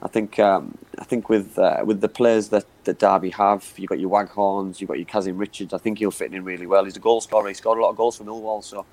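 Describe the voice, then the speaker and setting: A man's voice: fairly low pitch, steady tone, fast, hardly any pauses, darkish tone. A man giving football analysis on a chat show, often repeating words and saying you know what I mean. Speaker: football pundit.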